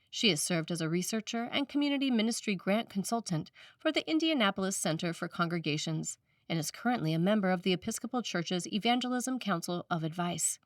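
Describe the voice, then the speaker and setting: A woman's voice: lowish voice, no echo. Woman reading a sermon, slightly distant mic. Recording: slightly distant microphone, dry room.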